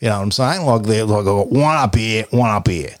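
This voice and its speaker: A man doing a loudmouthed middle-aged Aussie tradesman and father. He rambles, boasts and swears through every line in a low, Australian-accented voice. The sound is close and dry, with no room around it. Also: fairly quick, no echo, lightly acted. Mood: boastful. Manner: swears constantly, brags, rambles with lots of fillers. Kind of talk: comedy podcast character interview.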